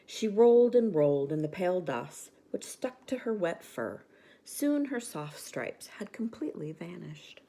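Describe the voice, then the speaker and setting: Low, mellow woman reading a bedtime story. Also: very animated, measured pace, slight background noise. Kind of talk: expressive children's story narration.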